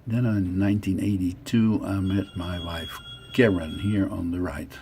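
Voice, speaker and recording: A man in his sixties from the Netherlands, with a Dutch accent, narrates his life story over photos in short, halting phrases. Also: low voice, fairly even intonation, warm tone, a little hiss or hum. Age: 60s.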